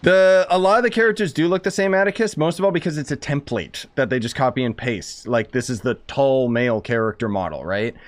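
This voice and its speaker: A man's voice: mid-range voice, brisk, hardly any pauses, no room sound. A man chatting informally to viewers as a game streamer, rambling and thinking aloud. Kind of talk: casual live-stream commentary, chatting with viewers.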